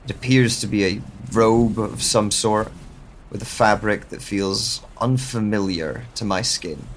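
A man plays a lost amnesiac narrating his own audio drama. His voice sits low and moves very slowly, pensive and halting as he searches for answers. Clear background ambience surrounds him. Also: slight background noise.

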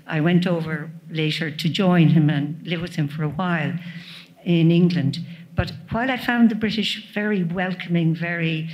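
A woman's voice: lowish voice, darkish tone, low-quality recording. An older woman from Ireland in the audience, reflectively recounting her own experience. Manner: reflective, personal recollection. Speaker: audience member. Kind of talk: audience question.

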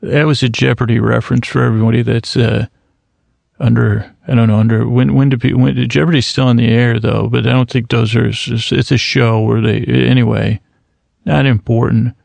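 A man hosting a podcast, musing in a self-mocking, deadpan way and wandering off on long tangents. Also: medium pitch, moderate pace, gravelly, dry recording. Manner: goes off on rambling tangents. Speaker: podcast host. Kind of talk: rambling solo podcast intro.

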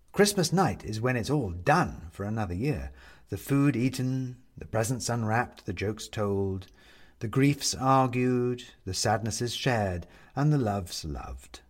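Expressive male storyteller. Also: fairly low pitch. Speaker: audiobook narrator. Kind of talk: scripted literary storytelling.

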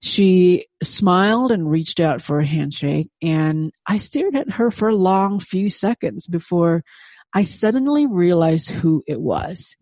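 A woman's voice: fairly low pitch, mellow, no room sound. A woman whose first language is Indonesian, telling a personal story in a reflective, conversational way with frequent "right?" asides.

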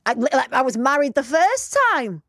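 Lively, high-voiced British woman chatting. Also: normal speed, no room sound, studio quality. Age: 30s. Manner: chatty, laughs a lot, jokey. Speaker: podcast co-host. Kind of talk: casual chat.

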